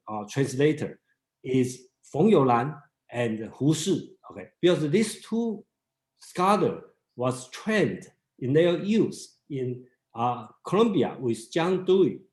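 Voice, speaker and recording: A man whose first language is Mandarin Chinese, leading a philosophy reading group in halting English with many restarts. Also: fairly high pitch, big pitch swings, a little mellow, echoey room, poor audio. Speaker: discussion group leader. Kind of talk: casual seminar talk.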